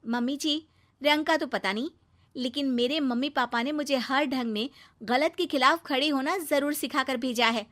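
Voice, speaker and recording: A woman voicing an outspoken, questioning young bride in an animated moral story, earnest and emphatic. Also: high-pitched, moderate pace. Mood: firm.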